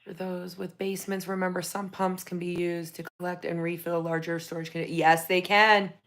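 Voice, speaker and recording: A woman hosting a casual livestream from her phone, reading viewer comments, her voice going raspy from talking so long. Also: fairly low pitch, a little fast. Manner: chatty, excited when thanking viewers for gifts. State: losing her voice, getting raspy. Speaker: livestream host.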